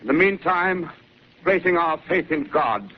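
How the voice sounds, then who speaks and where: A grave government secretary, acted by a man, addresses the nation in slow, oratorical tones. This is 1930s radio drama, heard through a dull, hissy broadcast. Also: on the high side, animated. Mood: solemn.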